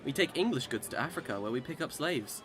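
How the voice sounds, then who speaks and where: A man's voice: medium pitch, expressive, fast, fairly bright tone, close to an everyday voice. Man acting a theatrical immortal Englishman, music behind. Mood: wry, self-assured. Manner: theatrical, exclamatory old-time speech. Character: boastful immortal Englishman, swinging between cheer and despair. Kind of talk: radio drama acting.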